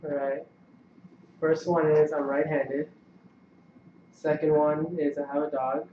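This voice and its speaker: A man's voice: a little high, level tone, slow, a little hiss or hum. A young man chatting casually as a member of a student meeting. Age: young adult. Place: meeting room. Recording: distant muffled mic in echoey room.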